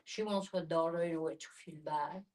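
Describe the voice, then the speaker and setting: Lowish-voiced woman, off the mic. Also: steady tone, fairly quick, darkish tone. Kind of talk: casual group discussion. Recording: voice picked up from a distance.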